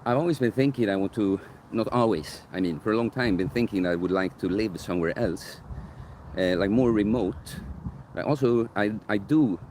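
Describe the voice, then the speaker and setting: A young man with a deep bass voice chatting casually outdoors while livestreaming a Q&A, rambling and correcting himself as he thinks aloud. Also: normal speed, a little mellow, slight background noise. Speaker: coach streaming live Q&A. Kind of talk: casual live-stream chat answering viewer questions. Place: outdoors in a park near sunset. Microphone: phone camera held by the streamer.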